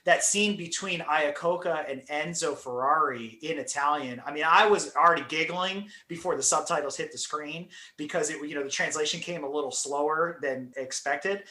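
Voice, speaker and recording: A man hosting a car podcast, telling stories in an animated, chatty way with frequent laughs. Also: on the high side, normal speed, few pauses. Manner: animated storyteller, laughs often. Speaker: podcast host. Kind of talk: casual chat. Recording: roomy echo.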